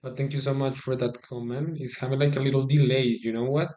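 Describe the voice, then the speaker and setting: A man from Colombia with a Colombian Spanish accent, whose first language is Spanish, gives casual live-stream chess commentary. He is chatty and halting, repeats words, and talks to his viewers, recorded on a phone. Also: medium pitch, steady tone, warm tone.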